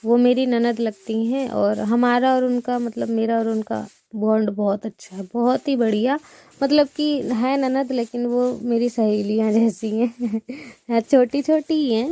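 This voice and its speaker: A woman's voice: fairly high pitch, warm tone, no echo. A young woman from India with a sore throat, chatting casually to her viewers as she sweeps and plasters her village house with mud. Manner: chatty, rambling, addresses viewers as friends. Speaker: village vlogger. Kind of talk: casual chat to viewers while doing chores.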